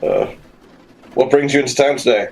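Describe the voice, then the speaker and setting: A man voicing a polite, nosy gentleman in a tabletop game, making formal, probing small talk. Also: medium pitch, huge pitch swings, moderate pace, poor audio. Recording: clear background noise, room ambience.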